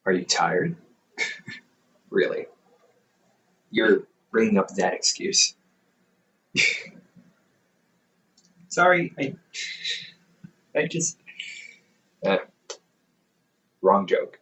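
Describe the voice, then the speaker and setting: A man's voice: on the low side, very animated, extremely slow, pauses often, bright, forceful tone, distant mic, full character voice, low-quality recording. A man voicing a caring, goofy older brother in an audio roleplay, playful and chatty, laughing often.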